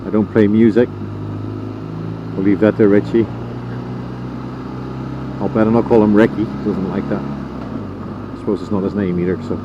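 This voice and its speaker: A man's voice: fairly low pitch, normal speed, creaky, long pauses. A man with a Dutch accent chatting casually as he rides a motorcycle, rambling with lots of ums and uhs. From Netherlands. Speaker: motorcycle vlogger. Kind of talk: casual vlog commentary while riding. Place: on a motorcycle riding outdoors. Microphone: helmet intercom headset mic. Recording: muffled lo-fi helmet mic, loud road and wind noise. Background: engine and road noise.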